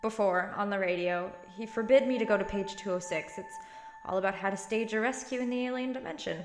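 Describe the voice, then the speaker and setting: A woman's voice: medium pitch, brisk, soft background music, close to an everyday voice. A young woman playing a plucky, teasing college-age granddaughter in an audio drama. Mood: hesitant.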